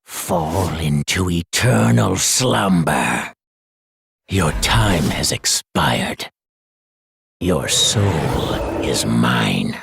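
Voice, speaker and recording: An actor voicing a menacing, deathly soul-reaping dark lord for a video game, husky and ominous. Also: male voice, a bass voice, expressive, noisy background, full character voice. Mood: cold menace. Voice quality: gravelly, husky. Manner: slow, ominous. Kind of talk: video game hero voicelines.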